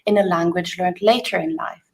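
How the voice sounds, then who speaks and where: A woman hosting an interview, speaking in a measured, careful way. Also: on the low side, fairly even intonation, rough recording. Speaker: host. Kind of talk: interview hosting, reading a quote.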